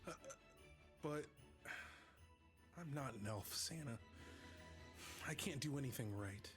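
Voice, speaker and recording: A man's voice: mid-range voice, lively intonation, slow pace, music in the background. A man playing a naive, earnest grown man raised as an elf, hesitant and childlike, in a live table read. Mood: timid, unsure.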